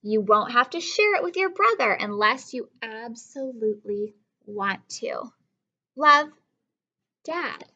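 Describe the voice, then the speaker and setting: Storybook father, read by a woman, patient, wry, gently firm, slow pace, bright tone. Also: on the high side, lively intonation, muffled recording, heavily acted. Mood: reasoning. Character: patient, wry father answering his young son.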